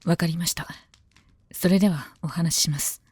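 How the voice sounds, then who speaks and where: Calm, low-voiced lady knight, acted by a woman. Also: normal speed, breathy edge, no room sound. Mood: quietly teasing, grave. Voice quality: calm, composed. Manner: polite, deferential, soft-spoken. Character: female knight of common birth, composed, devoted. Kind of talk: Japanese voice drama, intimate scripted dialogue.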